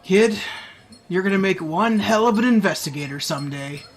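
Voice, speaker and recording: A man playing a kindly, modest store Santa who talks in a casual, gentle way. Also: high voice, animated, normal speed, noisy background. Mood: gentle, reassuring.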